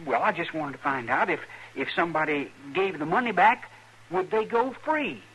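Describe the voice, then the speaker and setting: A man's voice: fairly high pitch, expressive, moderate pace, fairly bright tone. An actor playing an earnest, naive, stubborn country boy in an old-time radio Western. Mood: hesitant, nervous. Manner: rustic, artless country speech. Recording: noisy old radio drama recording.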